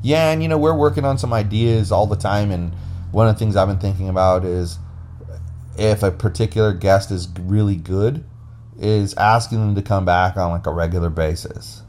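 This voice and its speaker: A man's voice: on the low side, moderate pace, darkish tone, slight background noise. A man co-hosting a casual, unscripted podcast, explaining things in a rambling way into a phone. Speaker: podcast co-host. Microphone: recorded into a phone app.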